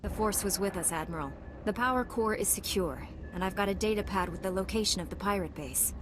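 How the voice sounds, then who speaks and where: An actress playing a confident, calm Jedi knight in a video game, with a dry, assured delivery. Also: female voice, medium pitch, brisk, long reverb tail, over music, lightly acted. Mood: composed, assured. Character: composed, confident heroic female Jedi knight.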